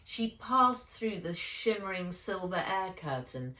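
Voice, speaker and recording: Low-voiced, unhurried woman reading a story. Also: sing-song, bright, forceful tone. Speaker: author reading own book aloud. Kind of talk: audiobook narration of a children's adventure novel. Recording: echoey room.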